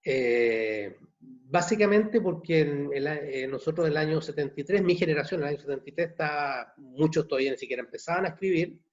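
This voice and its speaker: A man in his sixties from Chile with a Chilean accent, a novelist answering questions at an online book launch, halting and repeating words. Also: a little high. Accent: Chilean Spanish. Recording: dull muffled video call audio.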